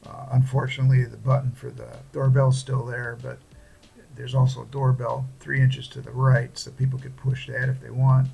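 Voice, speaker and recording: A man talking casually through a hobby project without a script, in a halting, plain-spoken way. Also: mid-range voice, completely flat delivery, creaky, a little mellow, muffled recording, faint music behind. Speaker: hobbyist presenter. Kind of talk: casual unscripted project walkthrough.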